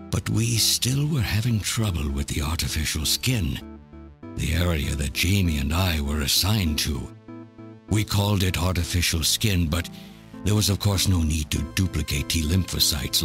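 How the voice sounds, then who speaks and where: An actor playing an elderly, obsessed scientist villain in a video game, delivering a bitter, deliberate, theatrical confession. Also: male voice, rumbling low. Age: older. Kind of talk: dramatic video game voice acting, monologue. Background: game music.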